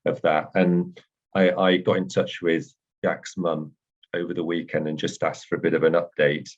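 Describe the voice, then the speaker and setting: A man's voice: sits low, level tone, moderate pace. A man giving a hesitant update at a meeting, with many fillers. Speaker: meeting speaker. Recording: dull lo-fi sound.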